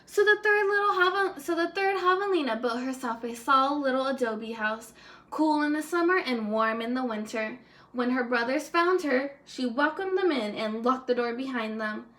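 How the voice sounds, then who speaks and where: A woman teacher reading a children's story aloud expressively, deliberate and simple for young listeners. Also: high voice, animated, a bit bright.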